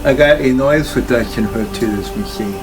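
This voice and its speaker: An older man casually showing equipment unscripted, plain-spoken and halting, with phrases that trail off. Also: mid-range voice, over music. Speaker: collector presenting his tape machines. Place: indoor room full of audio gear.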